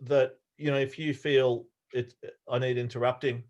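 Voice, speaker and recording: A man's voice: medium pitch, little pitch movement, moderate pace, darkish tone, lo-fi sound. An Australian man giving an informal talk to a club over a video call, easygoing and rambling, often restarting his sentences. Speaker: guest speaker.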